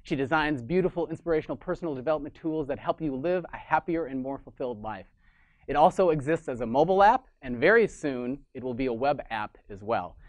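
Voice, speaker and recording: A man's voice: mid-range voice, lively intonation, a little fast, no room sound. A man hosting a business interview show, enthusiastic and a little repetitive, speaking from Sydney. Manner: enthusiastic, repeats "amazing", some restarts. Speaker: podcast host. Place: Sydney, Australia. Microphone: video call.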